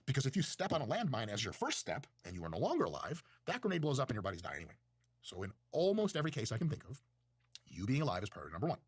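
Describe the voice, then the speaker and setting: A man's voice: mid-range voice, wildly swooping pitch, rapid-fire, breathy edge, no echo, studio quality. A man from Russia, giving a casual, rambling talk on philosophy in a profane, self-mocking way. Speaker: video creator. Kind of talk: casual monologue lecture.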